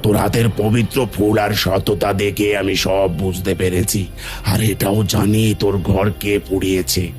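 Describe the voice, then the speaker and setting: A man voicing a solemn, commanding forest demon in an animated fairy tale. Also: fairly low pitch, soft background music, close to an everyday voice. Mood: solemn, knowing. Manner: slow, commanding, all-knowing. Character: solemn male forest demon spirit guarding palm grove.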